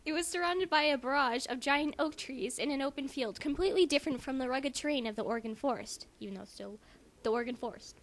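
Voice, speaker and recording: A woman's voice: sits high, fast talker. A woman doing an amateur mock-dramatic story reading at home, mixed with casual commentary. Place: friend's house. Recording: faintly noisy amateur home recording.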